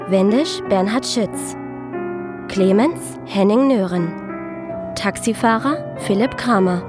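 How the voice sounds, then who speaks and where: A woman reading scripted credits as a radio drama announcer. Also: medium pitch.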